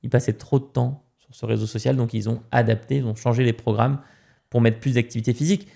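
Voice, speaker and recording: Gravelly, mellow French-speaking man. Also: medium pitch, steady tone, brisk. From France. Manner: emphatic, repeats words when starting a point. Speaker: guest. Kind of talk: casual podcast conversation.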